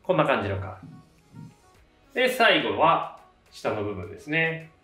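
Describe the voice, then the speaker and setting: Echoey, lively-pitched man giving a tutorial. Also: normal speed. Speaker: hairdresser giving a tutorial, channel host. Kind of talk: casual step-by-step instructional narration. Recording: roomy echo, distant mic, faint music. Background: faint background music.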